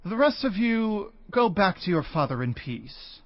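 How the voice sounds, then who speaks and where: An actor playing a stern, high-ranking governor who speaks formally and with authority in a scripture audio drama. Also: male voice, big pitch swings, measured pace, faint music behind, exaggerated voice. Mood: firm judgment. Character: Joseph, commanding male governor of high rank. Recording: dry, slightly dull studio recording.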